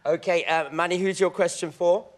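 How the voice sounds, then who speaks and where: A man's voice: high voice, fairly even intonation, moderate pace. A man presenting a live radio show and fielding audience questions in a conversational way. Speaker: radio host. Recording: live audience noise behind.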